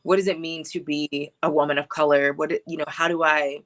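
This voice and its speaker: A woman's voice: sits low, flat delivery, brisk, fairly bright tone. A young woman from Hawaii, a filmmaker and actress, telling her story on a video call in a reflective, casual way, full of "like" and "you know". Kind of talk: casual interview.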